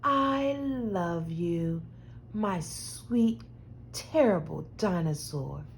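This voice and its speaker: A woman reading the part of a loving, patient mother in a picture book, tender, soothing and expressive. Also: on the low side, very slow, gravelly, slight background noise, pitched below the performer's own voice, full character voice.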